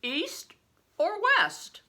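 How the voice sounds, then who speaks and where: A woman voicing a huge, shrewd, blustering medieval man in a storybook, booming and theatrical as he questions in archaic speech. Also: huge pitch swings, very slow, pushed and bright, soft background music, exaggerated voice. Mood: probing, sly.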